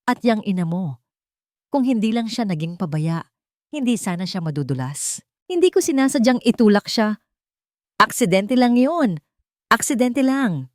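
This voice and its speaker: A woman's voice: mid-range voice, very animated, moderate pace, long pauses, a little mellow, no room sound, clean studio sound, pitched above the performer's own voice, natural voice. Woman acting out a desperate, possessive older woman. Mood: furious, pleading. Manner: anguished, accusatory.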